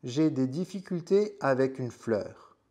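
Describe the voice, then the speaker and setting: A man voicing a small, lonely boy prince in a storybook, with gentle, pensive, questioning delivery. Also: mid-range voice, expressive, measured pace.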